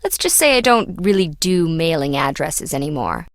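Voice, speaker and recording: A woman's voice: low-pitched, very animated, normal speed, dry recording, clean studio sound. An actress playing a brash, slippery woman treasure hunter in a video game, with wry, breezy, slangy teasing. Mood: flippant.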